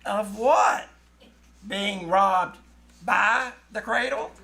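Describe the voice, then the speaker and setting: A woman's voice: medium pitch, drawn-out words, vocal fry, bright, forceful tone, exaggerated voice. A woman playing a sarcastic, wisecracking kid brother, dry and mocking, in 1940s radio comedy style. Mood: sardonic. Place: live on stage in a small theater.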